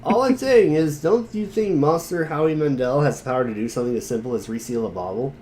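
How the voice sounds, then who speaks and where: A man's voice: a little high, fast talker, dark tone, slight background noise, close to an everyday voice. A man reading a smug, sarcastic webcomic boy in a casual, teasing way for an amateur dramatic reading. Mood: mocking.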